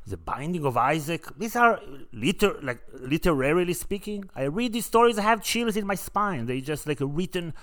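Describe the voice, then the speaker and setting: A man's voice: on the high side, animated, normal speed, few pauses, a bit bright. A man from Israel, a native Hebrew speaker, hosting a casual podcast conversation with an enthusiastic, emphatic manner. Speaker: podcast host.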